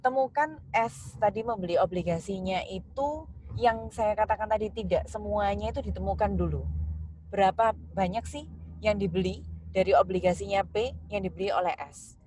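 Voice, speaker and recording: A woman's voice: medium pitch, darkish tone, husky, rough recording. Indonesian woman teaching step by step. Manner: step-by-step, instructional, addressing students directly. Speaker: accounting lecturer. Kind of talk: explanatory tutorial lecture.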